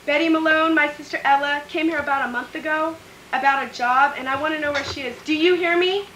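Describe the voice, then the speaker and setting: Radio thriller heroine, female actor: young, resolute, high-pitched and sharp, in a reverberant room. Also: fairly even intonation, a little fast, a little hiss or hum. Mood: accusing, urgent. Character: determined young woman confronting a sinister employer. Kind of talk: old-time radio thriller acting.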